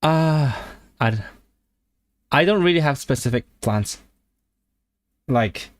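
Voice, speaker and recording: A man's voice: mid-range voice, no echo. A man, casually chatting on a livestream and answering viewers in a rambling way. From Hong Kong. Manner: rambling, with fillers and self-corrections. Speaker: language YouTuber on a livestream.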